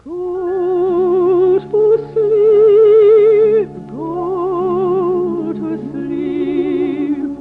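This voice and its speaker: A woman's voice: very high voice, steady tone, extremely slow. A woman singing a lullaby in light opera style on a 1950s radio show. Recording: dull vintage 1950s radio recording. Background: music.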